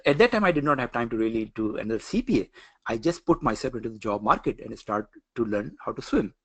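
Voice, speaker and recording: A man's voice: medium pitch, lively intonation, fast, a bit bright, breathy edge. A middle-aged man from Pakistan, with a Pakistani accent, giving a career coaching lecture in an earnest manner, often repeating phrases and using fillers. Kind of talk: online coaching session lecture.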